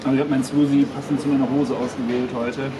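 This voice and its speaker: A man's voice: medium pitch, little pitch movement, quick pace, loud music behind the voice, rough recording. A young man vlogging casually, chatty and eager to give viewers tips. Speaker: travel vlogger. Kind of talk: casual food vlog chat. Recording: loud street noise behind.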